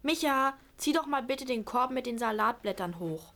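A woman's voice: on the high side, lively intonation, moderate pace, fairly bright tone. A female performer playing a sensible, bossy schoolgirl who explains and instructs her siblings in a children's radio play. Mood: firm.

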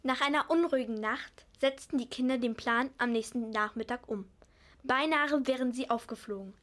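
A woman's voice: a little high, normal speed, a bit bright, lightly acted. A female narrator reading scripted storytelling links for a children's radio play.

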